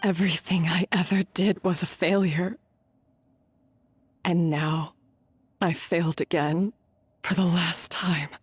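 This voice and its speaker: A woman's voice: lowish voice, fairly even intonation, slow pace, long pauses, slightly rough, no echo, heavily acted. An actress playing a bitter, remorseful but defiant young female chef in a video game, speaking with dramatic emotion. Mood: anguished. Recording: game dialogue over background music.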